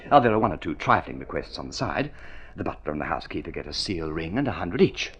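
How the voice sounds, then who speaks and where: An actor playing a spoiled, idle young gentleman in an old radio drama, breezy and exclamatory, full of upper-class slang. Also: low voice, sing-song, fast talker, slightly rough, exaggerated voice. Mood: flippant, chatty. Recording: dull vintage radio recording.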